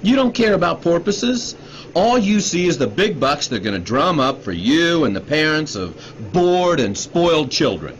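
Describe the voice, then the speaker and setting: Casting: man to play vengeful scientist, mid-pitched, grave and sardonic with wide swings, slightly muffled radio drama. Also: very animated, normal speed. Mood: indignant, sardonic. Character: bitter, sleepless male scientist, grimly vengeful. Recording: dull radio drama recording, faint noise.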